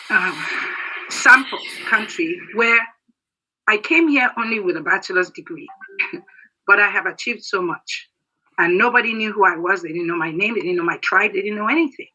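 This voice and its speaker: Earnest man in his sixties, bright. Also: sits high, rough recording. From Nigeria. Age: 60s. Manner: earnest, emphatic, halting at times. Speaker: guest speaker. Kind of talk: prepared speech.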